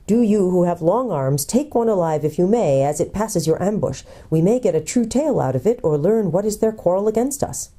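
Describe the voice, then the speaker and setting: A bold, stern prince from a storybook, played by a woman. The delivery is formal and courtly, very mellow in tone, and moves expressively in pitch. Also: expressive, a little fast, hardly any pauses. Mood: resolute. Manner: stern, formal, old-fashioned courtly speech. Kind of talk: acted audiobook character.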